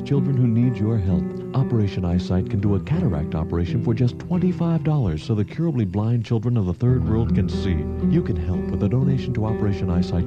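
A man reading a scripted charity appeal as a radio announcer. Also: on the low side. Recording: dull radio recording, loud music behind.

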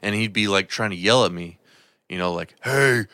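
Brief: male podcast guest, deep resonant voice, loose rambling chat, dry close mic. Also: moderate pace, sharp tone, no room sound. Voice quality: deep voice, throat often raspy. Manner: rambling, swears, stammers on restarts. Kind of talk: casual chat.

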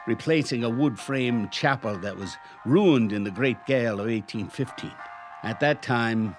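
A man's voice: on the low side, natural voice. An actor playing an aging, crafty and haughty male lawyer in a radio drama, with pompous, theatrical phrasing. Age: older. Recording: music bed behind the voice.

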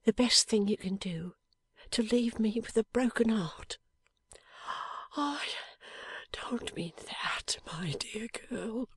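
A woman voicing a badly wounded young husband who speaks in a faint, halting, affectionate whisper in an audiobook. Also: fairly high pitch, breathy edge, no echo, voice pushed up. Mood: faint, tender. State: weak from injury. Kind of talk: audiobook character voice.

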